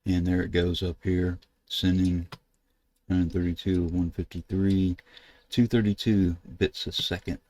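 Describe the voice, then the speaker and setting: An older American man with a low, creaky voice talks through a computer demonstration. He speaks casually and haltingly, thinking aloud as he goes. His delivery is flat, with little rise or fall. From United States. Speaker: ham radio video host. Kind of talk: casual screen-share tutorial narration. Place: indoors at a computer desk.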